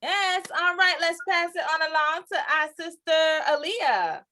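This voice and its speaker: A woman's voice: very high voice, expressive, unhurried, pushed and bright. A woman in her twenties hosting a live group call, chatting in an upbeat way and speaking straight to the audience. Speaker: host.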